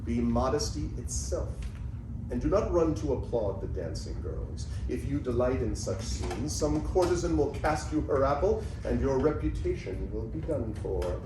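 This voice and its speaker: A man's voice: medium pitch, sing-song, normal speed, lightly acted. Man acting a stern, preachy old-fashioned teacher, echoey. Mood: stern exhortation. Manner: declamatory, preachy. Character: stern, moralizing old-fashioned male teacher personifying just argument. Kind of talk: dramatic reading of ancient Greek comedy. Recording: noisy, echoey room, distant mic.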